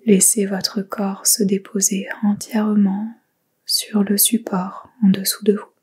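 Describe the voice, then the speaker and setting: A woman guiding a yoga nidra meditation in a soft whisper, giving slow, calm instructions. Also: mid-range voice, breathy edge. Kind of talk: guided meditation.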